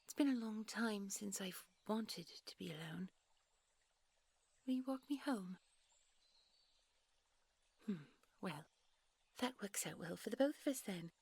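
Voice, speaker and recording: Woman acting as a breathy, genteel fairy princess. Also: medium pitch, expressive, a little slow, pauses often. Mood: wistful. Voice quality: breathy, soft. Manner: genteel, coy, old-fashioned polite phrasing. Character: gentle, chatty, secretive fairy princess, sweetly evasive. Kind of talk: intimate audio roleplay acting, one side of a dialogue.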